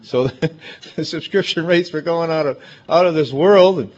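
A man's voice: a little high, measured pace, darkish tone. A man with a big, emphatic voice, preaching a chatty, conversational sermon full of asides. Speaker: pastor. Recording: dull lo-fi recording.

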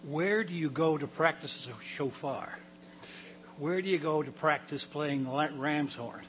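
Older guy preaching in church, raspy throat, keeps clearing it, slight hum behind. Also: on the high side, fairly bright tone. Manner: halting, repeats words, clears throat often. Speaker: pastor. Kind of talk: sermon. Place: church hall.

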